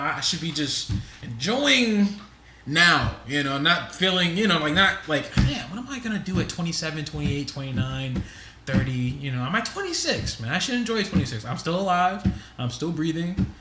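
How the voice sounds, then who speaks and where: A man's voice: fairly high pitch, sing-song, moderate pace, roomy sound, background noise. A man in his twenties, co-hosting a podcast in casual chat, rambling with lots of fillers. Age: 20s. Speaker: podcast co-host.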